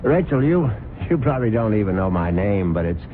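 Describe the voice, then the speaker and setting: An actor playing a vain, nervous wealthy husband who narrates, smug and suave but easily rattled, in an old radio drama. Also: male voice, on the low side, very animated, brisk, a little hiss or hum. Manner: smug, suave, then jittery. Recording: narrow lo-fi old radio broadcast with music.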